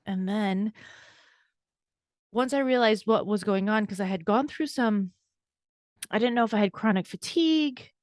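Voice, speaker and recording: A woman in her forties talks through her own story as a podcast guest, candid and brisk, with a self-deprecating edge. Her voice sits at an ordinary middle pitch. The sound is dry and close, with no room around it. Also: darkish tone, no room sound. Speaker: guest, burnout coach and speaker. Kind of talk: podcast interview, conversational storytelling.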